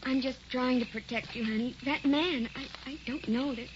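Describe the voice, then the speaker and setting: An actress playing a frightened young wife. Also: female voice, high-pitched, normal speed, background noise, natural voice. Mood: fearful. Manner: pleading, anxious. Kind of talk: old-time radio drama acting. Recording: dull old radio recording with music.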